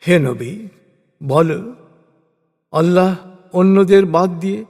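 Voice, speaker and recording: A man reading a religious translation as a solemn scripted narration, in measured, deliberate phrases. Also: sits high, a little mellow. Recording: long hall-like reverb.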